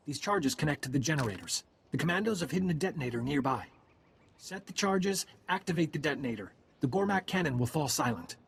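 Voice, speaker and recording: A brisk, earnest alien commando messenger in a video game. The voice is fairly high and animated, giving curt formal instructions over faint noise. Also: male voice, vocal fry. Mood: brisk, grave.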